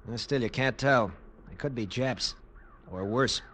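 A man's voice: medium pitch, a little slow, fairly bright tone, natural voice. An American man playing a wary military pilot lieutenant in slangy, clipped 1940s radio drama style, both in dialogue and narration. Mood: wary, suspicious. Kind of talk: 1940s radio acting and first-person narration. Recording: noisy vintage radio drama recording.

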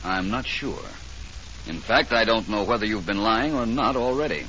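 An actor playing a composed, wry private detective in a late-1940s radio drama, speaking in a measured, urbane, dry way. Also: male voice, fairly low pitch, expressive, very noisy, lightly acted. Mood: firm, probing.

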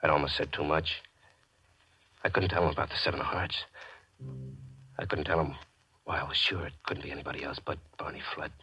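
A man's voice: rumbling low, flat delivery, fairly quick, pauses often, bright, forceful tone, husky, full character voice. An actor playing a frightened, guilt-ridden man who narrates his own haunting in a 1940s radio mystery, brooding as he narrates and stammering and pleading in dialogue. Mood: grim, brooding. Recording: dull vintage radio recording with music.

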